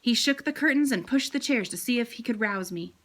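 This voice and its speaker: A woman's voice: on the high side, expressive, fairly quick, a bit bright. A woman voicing a small, eager male pet hamster who tells his adventures in a playful storybook manner.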